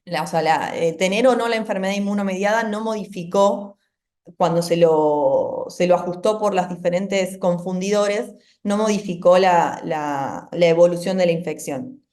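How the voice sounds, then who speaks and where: A woman's voice: on the low side, normal speed, a little mellow. Argentine woman answering with many fillers. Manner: thoughtful, many fillers and restarts. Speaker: rheumatologist answering questions. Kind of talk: answering questions in an online medical seminar. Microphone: video call.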